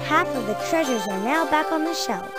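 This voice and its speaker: A woman's voice: high-pitched, expressive, normal speed, loud music behind the voice. A woman playing a studious, level-headed young detective in a cartoon game, precise in her speech. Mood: thoughtful. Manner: precise, analytical. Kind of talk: animated game cutscene acting.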